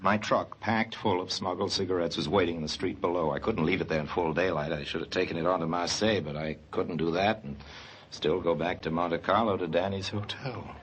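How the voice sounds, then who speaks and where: An actor playing a gruff, sardonic smuggler and truck driver in an old-time radio drama, brusque and hard-boiled. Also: male voice, sits low, fairly quick, slight background noise, lightly acted. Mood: wry narrating. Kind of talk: old-time radio drama acting with first-person narration.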